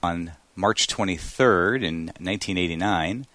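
Low-pitched man, podcast segment host, dry close sound, playful announcer phrasing, conversational. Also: measured pace, fairly bright tone, dry recording.

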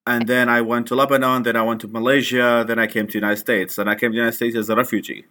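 Bright-voiced man in his twenties. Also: mid-range voice, flat delivery. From Iraq. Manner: halting, with many restarts and fillers. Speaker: guest, activist. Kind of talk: conversational interview.

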